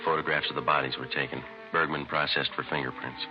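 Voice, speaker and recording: A man's voice: very deep voice, level tone, quick pace, over music. A man playing a terse, businesslike police detective in a 1950s radio crime drama, clipped and matter-of-fact. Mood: dry, official. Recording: noisy, dull vintage radio recording.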